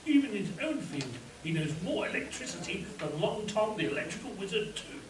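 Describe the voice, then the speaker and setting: A man's voice: high-pitched, big pitch swings, a little fast, a crowd in the background. A man playing a self-assured, loyal lawyer sidekick in a live spoof radio play. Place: convention hall before a live audience. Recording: echoey distant mic, audience noise.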